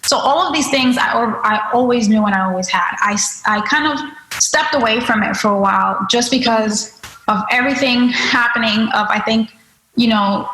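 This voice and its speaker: Animated Dominican-born woman storyteller. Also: on the high side, moderate pace, roomy sound, low-quality recording. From Dominican Republic. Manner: animated, lively anecdotes with quoted asides. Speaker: guest author. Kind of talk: conversational interview storytelling.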